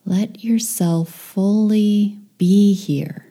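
A woman leading a guided meditation in a soft, breathy, near-whispered voice, gentle and soothing with long pauses. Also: medium pitch, fairly even intonation.

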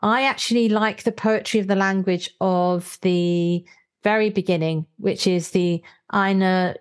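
A woman's voice: mid-range voice, fairly even intonation, a little slow, clear, resonant tone. A woman hosting a chatty book discussion in a playful, enthusiastic manner. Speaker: podcast host and interviewer. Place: remote call.

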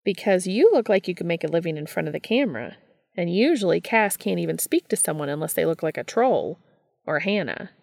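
A flamboyant older brother who teases loudly and bluntly, as a woman reading a story acts him out. The voice has a gravelly edge. It sounds close and dry, with no room around it. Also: lowish voice, animated, fairly quick, no room sound. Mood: teasing, brash. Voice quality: loud and teasing.

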